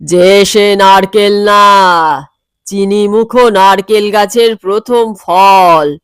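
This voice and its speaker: A woman voicing a stern middle-aged father in a comic audio story, speaking slowly and weightily. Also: medium pitch, fairly even intonation, clean tone, no echo, studio quality, pitched below the performer's own voice. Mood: proud, solemn.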